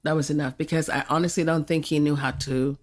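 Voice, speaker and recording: A woman's voice: deep voice, fairly even intonation, fast. An older woman from Jamaica talking frankly in a podcast conversation, often laughing as she reflects.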